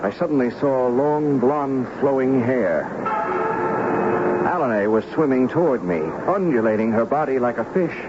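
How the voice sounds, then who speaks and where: A man's voice: mid-range voice, moderate pace, lo-fi sound, over loud music, natural voice. An actor playing an earnest, lovestruck young man who tells his own story in a 1970s radio drama. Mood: reflective, dramatic. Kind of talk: 1970s radio drama acting and first-person narration. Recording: band-limited 1970s radio broadcast recording.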